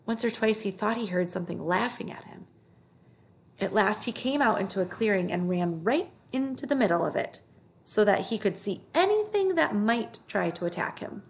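A woman in her thirties narrates a children's story with expressive emphasis. Her voice has plenty of fry, and she is recorded at home on a laptop microphone. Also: medium pitch, moderate pace. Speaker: read-aloud narrator. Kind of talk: expressive children's book reading. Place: living room on a couch, indoors.

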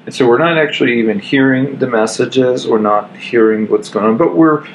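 A man's voice: mid-range voice, normal speed, a little hiss or hum, low-quality recording. A man reflecting in a casual living-room discussion, building points in repeated phrases. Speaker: podcast guest.

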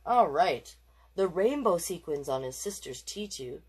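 A woman's voice: lowish voice, animated, measured pace, a little mellow, slightly rough. A woman hosting a children's storytime, reading picture books aloud with playful, encouraging asides to young listeners. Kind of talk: picture-book read-aloud with chatty asides.

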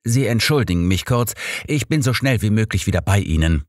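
A man's voice: on the low side, animated, brisk, no echo, clean studio sound, pitched above the performer's own voice, natural voice. A man voicing a confident, cunning old detective with an assured, persuasive manner in an audiobook. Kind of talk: audiobook character dialogue.

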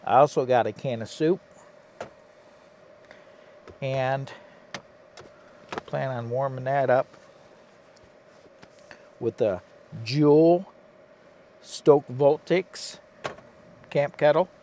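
A man from Michigan vlogging casually from inside a parked car, talking low-key and half to himself. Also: very slow, long pauses. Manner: low-key, quiet, half-muttered asides. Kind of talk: casual vlog commentary. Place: inside a parked car, cold and windy outside. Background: faint wind outside.

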